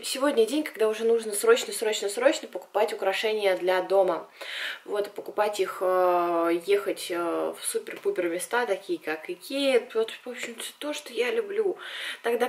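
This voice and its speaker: A young woman in her twenties talks to her vlog audience in an informal, chatty way. Her voice is mid-pitched and a little husky and worn. She is recorded at home in a small room, talking into a camera held close. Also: darkish tone. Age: 20s. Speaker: vlogger. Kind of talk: casual chat to camera.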